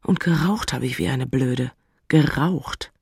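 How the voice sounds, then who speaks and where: A woman's voice: very deep voice, measured pace, vocal fry, a little mellow, breathy edge, no room sound, studio quality, exaggerated voice. An actress playing an exasperated, lovesick single city woman in a radio play, narrating with blunt, self-mocking asides.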